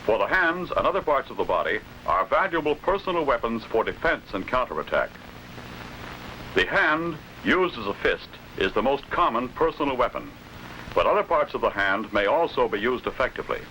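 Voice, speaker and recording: A forceful American man narrates an old instructional film in a formal, authoritative manner. His pitch moves in lively steps as he carefully enunciates each phrase, over a noisy vintage soundtrack. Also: normal speed.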